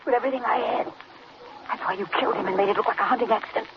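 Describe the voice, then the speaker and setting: Sardonic actress as a wife on noisy old radio. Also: female voice, on the high side, rapid-fire, muffled recording, natural voice. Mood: bitter, defiant. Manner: sardonic, theatrical. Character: cool, bitter, defiant wife facing her murderous husband. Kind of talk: 1940s radio acting.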